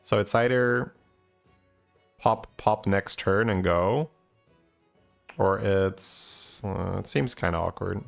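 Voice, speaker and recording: A man, a Dutch speaker, giving casual gameplay commentary as a streamer, thinking aloud in a low-key, musing way. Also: takes it slowly, vocal fry, pauses often, soft background music. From Belgium.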